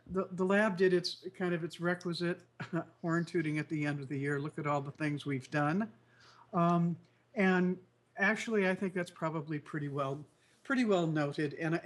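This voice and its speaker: Congested male co-host, higher voice, nasal and croaky, meandering delivery. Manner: rambling, many fillers and restarts. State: congested, recovering from flu. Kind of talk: casual news chat show.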